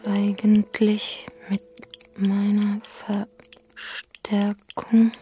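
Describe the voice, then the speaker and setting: A woman's voice: medium pitch, flat delivery, drawn-out words. An actress playing a dutiful official on her way to help, speaking in fragmented, partly whispered phrases in a radio drama. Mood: dry, pensive. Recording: music behind.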